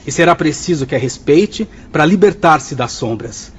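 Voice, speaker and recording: Radio drama: formal Brazilian spirit instructor, lecturing firmly, husky tone, muffled recording. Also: male voice, fairly high pitch, animated, normal speed. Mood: firm, didactic. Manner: didactic, formal. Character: male spirit instructor, stern yet courteous.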